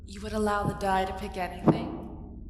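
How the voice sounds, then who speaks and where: A woman voicing an ancient, haughty, bored female dragon who taunts with theatrical menace and drawn-out words in an ASMR roleplay, with cavernous reverb. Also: mid-range voice, completely flat delivery, darkish tone. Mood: playful, bored superiority. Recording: cavernous reverb, noisy cave ambience.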